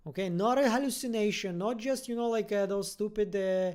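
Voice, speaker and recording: A livestream host with a soft-edged, mellow voice. The talk is friendly and informal, wandering and halting while reading out and answering chat comments. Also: mid-range voice. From born in Russian-speaking Ukraine, raised from age ten in Israel. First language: Russian. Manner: friendly, rambling, halting, searching for words. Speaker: host of a fan review livestream. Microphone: computer-connected microphone on a livestream.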